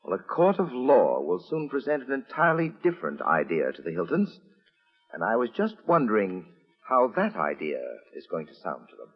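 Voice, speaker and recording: An actor playing a sly, teasing mystery storyteller introducing a 1940s radio tale. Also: male voice, fairly low pitch, wildly swooping pitch, moderate pace. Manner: teasing, insinuating delivery. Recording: husky lo-fi vintage recording with long reverb.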